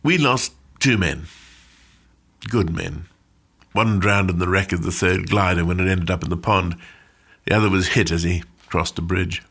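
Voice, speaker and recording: An actor as a low-voiced, wry war veteran. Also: male voice, normal speed, fairly bright tone, breathy edge, exaggerated voice. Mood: sombre. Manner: understated, clipped storytelling. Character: British airborne glider veteran, wry, modest, recounting D-Day. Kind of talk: dramatic monologue for a radio play.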